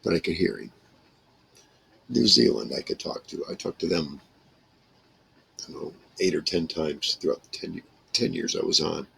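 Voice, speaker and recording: A man in his sixties with a rough, gravelly voice, rambling casually to camera in halting phrases. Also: rumbling low, lively intonation, pushed and bright, slight background noise, low-quality recording. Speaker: vlogger reminiscing about a radio hobby. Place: small wood-paneled room indoors.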